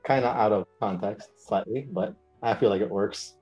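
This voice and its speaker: A man talks casually as a player in a tabletop game. His voice is fairly low and soft-edged, with music running behind it. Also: normal speed, breathy edge.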